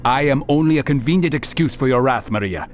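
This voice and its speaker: An actor playing a calm, determined assassin in a video game, with a measured, dry, terse manner. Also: male voice, mid-range voice, natural voice. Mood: cool, taunting. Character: calm, cool, determined young assassin hero. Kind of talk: video game cutscene acting.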